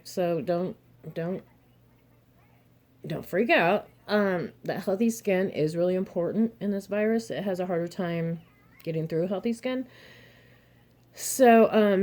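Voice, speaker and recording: Hoarse chatty woman giving frank advice. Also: mid-range voice, warm tone. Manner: chatty, frank, laughs often, mild cursing. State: a little hoarse and throaty. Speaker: vlogger, single mother and reseller. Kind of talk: casual advice monologue to camera.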